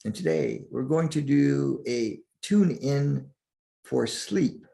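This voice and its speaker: Slow, gravelly male meditation guide. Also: mid-range voice, expressive, mellow. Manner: soothing, deliberate, with long pauses between short phrases.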